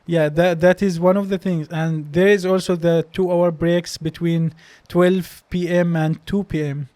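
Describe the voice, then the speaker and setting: A man's voice: a little high, fairly even intonation, measured pace, a little mellow, no echo. A young man with a Palestinian accent whose first language is Arabic, a doctor telling stories as a podcast guest, hesitant with many restarts. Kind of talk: casual interview storytelling.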